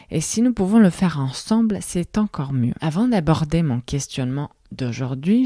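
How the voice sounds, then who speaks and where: A low-voiced woman in her thirties hosts a reflective podcast monologue, speaking in a language that is not her first. Her tone is mellow and soft-spoken, and she turns over one question after another. The recording is dry and close, with no room sound. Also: no room sound. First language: not French. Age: 30s. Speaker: podcast host.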